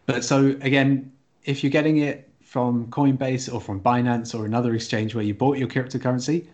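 Mid-pitched man explaining tech conversationally. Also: steady tone, moderate pace, a little mellow, muffled recording. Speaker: podcast co-host.

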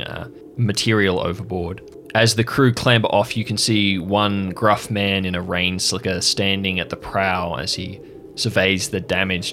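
A man narrating as game master of a tabletop game, improvising descriptive scenes with hesitant pauses. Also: deep voice, a bit bright, slight background noise.

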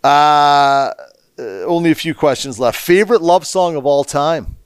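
A man hosting a casual interview, chatty and folksy, firing off quick questions and encouraging replies. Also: fairly high pitch, smooth, dry recording, studio quality. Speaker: podcast host.

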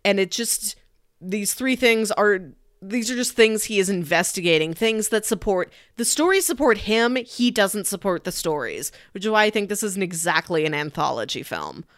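A woman's voice: mid-range voice, very animated, moderate pace, fairly bright tone, dry recording. Woman chatting analytically, dry close sound. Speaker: podcast co-host reviewing a film. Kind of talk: casual chat, film discussion.

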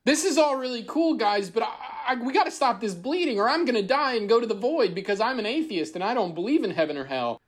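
A man's voice: very high-pitched, animated, fast, few pauses. A man voicing a panicked wounded man in an improv scene, rambling and repeating himself anxiously. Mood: panicky.

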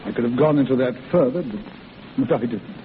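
A man's voice: medium pitch, slight background noise, natural voice. Actor as a fatherly doctor, tinny old radio. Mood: earnest, probing. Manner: avuncular, deliberate, probing. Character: kindly, fatherly head doctor of a rehabilitation hospital. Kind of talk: 1940s radio drama acting.

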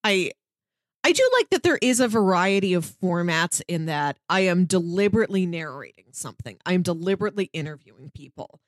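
A woman's voice: lowish voice, expressive, fairly quick, no room sound, studio quality. A woman co-hosting a podcast, casually explaining her thoughts in loose, conversational sentences. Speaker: podcast co-host. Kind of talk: casual discussion and review.

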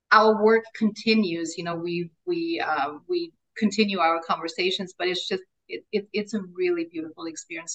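A middle-aged woman with an Austrian German accent, a native German speaker, talking as a life coach in a casual interview, animated, laughing often and repeating words as she starts her thoughts. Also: fairly low pitch, lo-fi sound.

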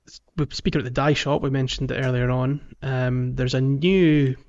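A man's voice: mid-range voice, fairly even intonation, normal speed, a little mellow. A man co-hosting a casual gaming podcast, who talks in a chatty way with lots of ums and restarts. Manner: chatty, many ums and restarts, laughs easily. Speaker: podcast co-host. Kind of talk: casual game-talk podcast. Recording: dull lo-fi podcast audio.

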